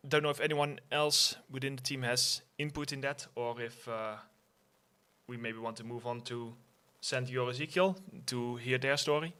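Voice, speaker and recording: A man on a livestream panel who speaks bluntly and plainly, moving the talk along. His voice has a bright, forward edge. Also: medium pitch, normal speed, smooth. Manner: frank, blunt, steering the conversation.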